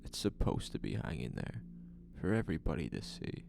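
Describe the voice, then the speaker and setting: Man acting sinister cop, extremely deep and gravelly. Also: big pitch swings, fairly quick, darkish tone, a little hiss or hum, full character voice. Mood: cold, flat assurance. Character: sinister, curt false policeman, coolly controlling. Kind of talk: audio horror drama acting.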